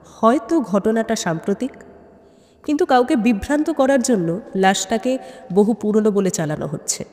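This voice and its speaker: Woman voicing calm sharp female detective, reverberant. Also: fairly high pitch, normal speed, lightly acted. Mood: calm, probing. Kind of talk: dramatised detective audio story.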